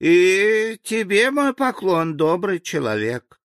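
A man's voice: high-pitched, very animated, slow, fairly bright tone, clean tone, no echo, studio quality, voice pushed up, heavily acted. A man voicing a meek, bewildered villager who speaks in a timid, plaintive way, in an audiobook fairy tale. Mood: friendly. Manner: timid, plaintive, literal-minded. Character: meek, bewildered male villager from a magic land.

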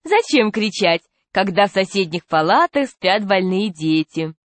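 A brusque male doctor from a storybook read by a woman. He speaks with curt authority and smooth dismissiveness, in a close, dry recording. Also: mid-range voice, very animated, unhurried, no echo. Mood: placating.

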